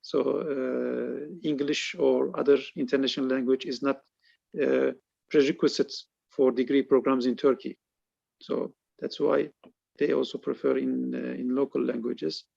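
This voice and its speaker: Gravelly guy on a zoom call, kinda high voice, lots of um and you know, dull lo-fi audio. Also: flat delivery, a little slow, dark tone, no room sound. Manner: hesitant, many fillers. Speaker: guest speaker. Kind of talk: answering questions in a webinar. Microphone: video call.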